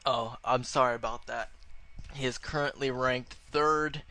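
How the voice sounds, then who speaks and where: A man plays an earnest gaming-site interviewer with a clean, smooth, mid-pitched voice. He announces things slowly and carefully in a parody sketch, apologetic and easily flustered. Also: fairly even intonation, pushed and bright. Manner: deliberate announcing, apologetic, slangy gamer talk.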